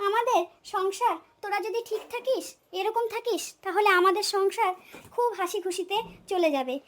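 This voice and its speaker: A woman's voice: very high-pitched, normal speed. A woman voicing an older, doting mother-in-law in a comic cartoon, emotional and effusive, drawing out her endearments. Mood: tearful, happy. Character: doting older Bengali mother-in-law, scolding but soft-hearted.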